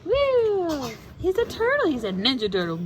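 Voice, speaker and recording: A woman reading a picture book aloud to her small children as their mother, playfully exclaiming and chatting with them between lines in drawn-out, encouraging reactions. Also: very high voice, wildly swooping pitch. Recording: noisy home recording with clear background noise.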